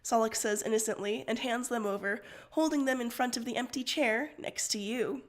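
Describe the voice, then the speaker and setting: A woman reading fan fiction aloud on a livestream in an expressive, informal way. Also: a little high, moderate pace. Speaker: story reader on a livestream.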